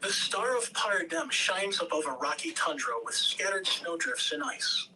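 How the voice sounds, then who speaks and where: Table-read narrator for stage directions: bright, measured delivery, recorded a little away from the mic. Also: mid-range voice, expressive. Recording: distant mic, faint background noise.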